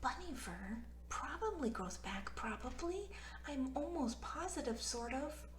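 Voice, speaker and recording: A woman's voice: high-pitched, lively intonation, a little slow, roomy sound, very noisy. A woman voicing a brash, dramatic little girl in a storybook, emphatic, childlike and bossy. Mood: unsure.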